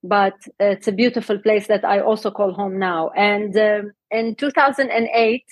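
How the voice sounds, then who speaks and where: A middle-aged woman from Lebanon, a health coach and guest, talking in a relaxed interview and laughing often. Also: mid-range voice, normal speed, no room sound. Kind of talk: casual interview talk.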